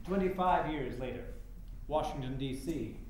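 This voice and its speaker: A man's voice: a little high, moderate pace, darkish tone. A man serves as narrator, delivering short scripted scene announcements. He is recorded live in a heavily reverberant room with background noise. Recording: very echoey, noisy stage recording.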